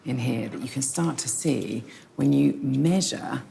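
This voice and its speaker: A woman in her thirties presenting a documentary in clear, measured scripted narration. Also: rumbling low, warm tone, slight background noise. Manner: clear, measured, thoughtful delivery.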